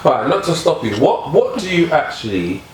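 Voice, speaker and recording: A man's voice: on the high side, big pitch swings, a little fast, roomy sound, crowd noise. A man in a casual podcast debate, blunt and insistent, checking if others follow him. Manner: blunt, keeps asking "do you get me". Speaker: podcast panelist.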